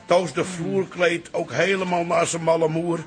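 An actor playing an excitable, grumbling old father-in-law in a radio comedy, melodramatic and indignant. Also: a little high, moderate pace, natural voice. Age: older. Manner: melodramatic, wisecracking. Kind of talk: radio comedy drama acting.

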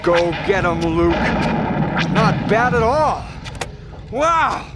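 An actor playing a cheerful, brotherly young fighter pilot in a radio drama, with an exuberant, teasing manner. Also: male voice, high-pitched, lively intonation, extremely slow, very noisy, exaggerated voice. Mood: excited. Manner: exuberant, teasing, laughing easily.

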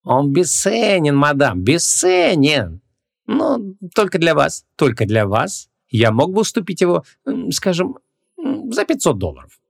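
A man's voice: fairly high pitch, huge pitch swings, a little slow, gravelly, dry recording, clean studio sound, pitched above the performer's own voice, heavily acted. A man voicing a sugary, wheedling antique shop swindler in an audiobook, ingratiating and theatrically indignant.

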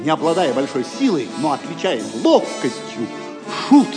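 A man tells the story as the narrator of an audio drama. His voice is high and very animated, dramatic in its scripted delivery, with music playing clearly behind it. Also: moderate pace. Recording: music under the voice.

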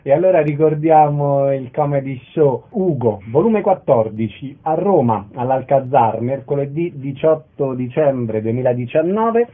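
A man's voice: mid-range voice, expressive, measured pace, hardly any pauses. A man hosting a radio show, interviewing and announcing over narrow, phone-like audio. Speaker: radio host.

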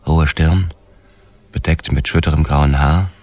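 An actor playing a sober, dogged police detective in a crime radio drama, terse and matter-of-fact. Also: male voice, a bass voice, steady tone, a little slow, a little mellow, exaggerated voice. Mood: sober, grim. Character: hard-boiled male police detective narrating his case, dogged and sober. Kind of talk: German radio drama, first-person crime narration. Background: music.

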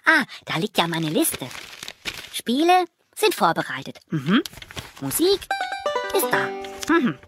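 An actress playing a scatterbrained, excitable little mouse boy in a children's audio play, talking in a muddled, exclaiming way. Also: medium pitch, takes it slowly, long pauses, over music, exaggerated voice. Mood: musing.

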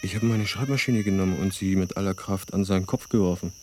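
A low-pitched man played by an actor speaks as a troubled writer in a radio play. His delivery is natural, hesitant and probing. Chirping crickets sound clearly behind him. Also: quick pace, a little mellow, a little hiss or hum, natural voice. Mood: uneasy, probing. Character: uneasy American male writer, haunted by a violent deed. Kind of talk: naturalistic radio drama dialogue. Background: crickets, outdoor ambience.

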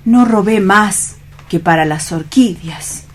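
A man's voice: high-pitched, slow, noisy background. Radio drama, actor as a bookish, dreamy young man, hesitant delivery, easily overwhelmed and meek. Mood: sheepish. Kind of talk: radio play reading.

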